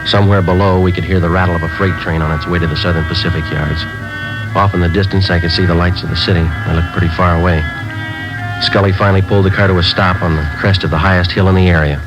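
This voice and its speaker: A male actor's hard-boiled undercover policeman with a deep, low voice. He speaks in terse, streetwise, clipped sentences in the manner of fifties radio crime drama. The old broadcast recording carries music. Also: steady tone, fast, highs cut off, lightly acted. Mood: terse, matter-of-fact. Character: tough undercover cop posing as a wary drug buyer.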